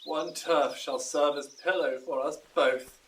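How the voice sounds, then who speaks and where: Young lover, male actor: tender, courtly verse, lightly high voice, slightly distant recording. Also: steady tone, measured pace, a bit bright, slight background noise. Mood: tender, weary. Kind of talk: classical Shakespearean verse acting.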